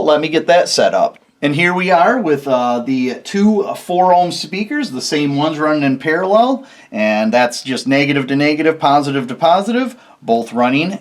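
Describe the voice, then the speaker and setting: A man hosting a casual how-to video, explaining things step by step in an informal, folksy way. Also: a little high. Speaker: host of a DIY car electronics video.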